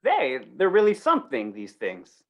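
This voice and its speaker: A man's voice: fairly high pitch, huge pitch swings, normal speed, lo-fi sound. A man playing a meek, hesitant, apologetic father and small shopkeeper in an old-time radio comedy, polite and flustered. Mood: curious.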